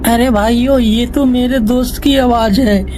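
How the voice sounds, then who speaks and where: Brief: a timid cartoon villager, mellow and anxious, over soft music. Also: male voice, very high voice, steady tone, measured pace, poor audio. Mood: grieving. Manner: pleading, anxious. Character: timid young village man, fearful friend. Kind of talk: cartoon voice acting.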